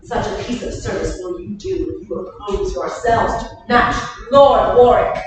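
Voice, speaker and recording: Bold, combative young noble, played by a woman; high-pitched Shakespeare reading in a very echoey room. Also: a little slow, close to an everyday voice. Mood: defiant. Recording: echoey, distant, noisy room recording.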